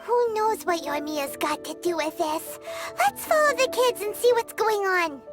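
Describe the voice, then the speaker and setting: Super high squeaky little fairy girl, bubbly, bouncy, game cutscene. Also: lively intonation, measured pace, loud music behind the voice. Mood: worried. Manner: speaks of herself in third person, bubbly. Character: tiny chirpy female fairy companion.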